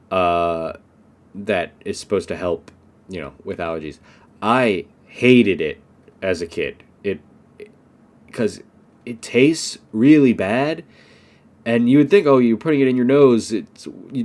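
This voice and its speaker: A man's voice: lowish voice, darkish tone. A young American man, stuffed up from allergies, giving an unscripted English lesson and rambling with lots of "um" and "like". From United States. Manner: rambling, many fillers like "um" and "like". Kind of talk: unscripted casual monologue.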